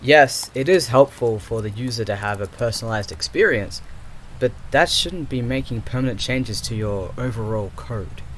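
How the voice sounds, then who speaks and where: A man's voice: medium pitch, moderate pace, slight background noise, natural voice. A man playing an exasperated, sarcastic creator of an artificial intelligence in an audio roleplay. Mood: concerned, scolding. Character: exasperated male creator and programmer of an archive AI.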